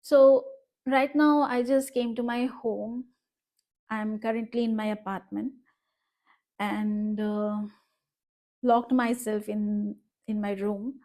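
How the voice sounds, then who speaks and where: Indian woman vlogger, slow and level-voiced, hesitant and reflective, speaking from her room. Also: a little high, flat delivery, dark tone. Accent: Indian English. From Northeast India. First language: Bengali. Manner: reflective, hesitant, many fillers. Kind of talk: personal vlog monologue.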